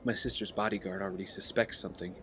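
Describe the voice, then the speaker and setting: A man's voice: deep voice, steady tone, quick pace, a bit bright, a faint crowd. A man playing an earnest young vigilante heir with a double life, sincere and reflective, in an audio drama.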